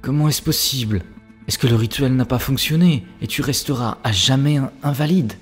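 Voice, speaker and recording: A man's voice: medium pitch, normal speed, faint music behind, lightly acted. A man playing an earnest, devoted young spiritual seeker in love, in solemn cartoon dub dialogue. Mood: shocked.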